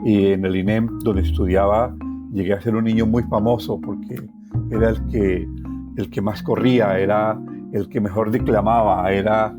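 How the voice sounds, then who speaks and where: A man with a Colombian accent recounts his own life in an expressive, reflective way, repeating phrases for emphasis. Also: on the low side, normal speed, music in the background. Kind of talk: personal oral storytelling.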